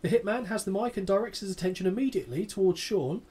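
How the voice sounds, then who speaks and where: A man's voice: high-pitched, expressive, quick pace, warm tone, slightly rough. A man in his thirties co-hosting a wrestling podcast, reading a match recap aloud with dry, sarcastic asides and casual swearing. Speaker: podcast co-host.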